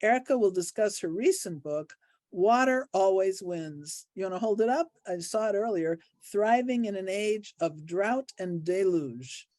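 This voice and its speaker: A woman moderator closes an online meeting by reading announcements from a script. Her voice is mellow and dark. The sound is dry and close, and her delivery is measured and formal. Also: mid-range voice, lively intonation, no echo. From Illinois, US. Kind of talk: reading closing remarks from a script.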